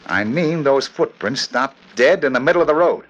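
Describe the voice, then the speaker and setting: A man's voice: medium pitch, lively intonation, normal speed, muffled recording, close to an everyday voice. A man playing a harried, worried police commissioner in an earnest, emphatic 1940s radio style. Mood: troubled, baffled.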